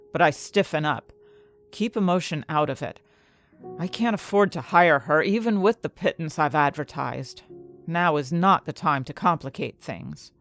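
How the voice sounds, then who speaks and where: An actress playing an earnest, anxious young woman chemist in a fantasy audio drama, confiding her own story in an expressive way. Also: low voice. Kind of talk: first-person scripted narration in fantasy audio drama.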